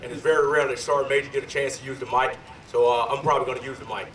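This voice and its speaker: Slightly hoarse, chatty sergeant major. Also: male voice, medium pitch, racing, slight background noise. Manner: loose and talkative, many fillers, energetic. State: a little hoarse. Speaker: sergeant major giving a history talk. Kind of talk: ceremonial speech. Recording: distant echoey PA outdoors.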